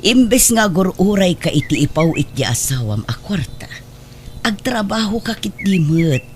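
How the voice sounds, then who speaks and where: A woman's voice: fairly low pitch, very animated, a little slow, a little hiss or hum. A woman acting an exasperated but fond older mother in an audio drama, with an Ilocano Filipino accent. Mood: scolding.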